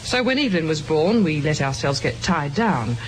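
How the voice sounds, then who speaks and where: A woman's voice: low-pitched, expressive, moderate pace, low-quality recording. A devoted young mother, anxious about her husband. She speaks with wistful sincerity in old radio drama style. The recording has a faint layer of noise. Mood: wistful, worried. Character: worried, loyal young wife and new mother.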